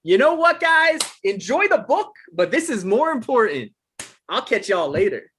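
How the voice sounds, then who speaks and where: A man acting an anxious, defensive novelist in a table read, speaking in a halting, earnest way. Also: very high-pitched, big pitch swings, fairly bright tone, a little hiss or hum, full character voice. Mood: elated. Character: anxious, defensive male novelist caught in romantic trouble.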